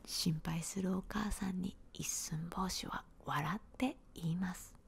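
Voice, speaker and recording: A woman, giving a gentle, unhurried whispered ASMR storybook reading in a small room, close to a handheld recorder. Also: fairly low pitch, fairly even intonation, creaky, slight background noise.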